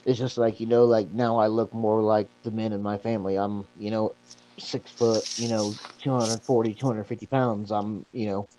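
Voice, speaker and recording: A man's voice: fairly low pitch, level tone, moderate pace, dark tone, slight background noise, poor audio. A man in his thirties chatting casually, rambling with lots of fillers and repeating himself. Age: 30s. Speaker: support group member. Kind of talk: casual chat.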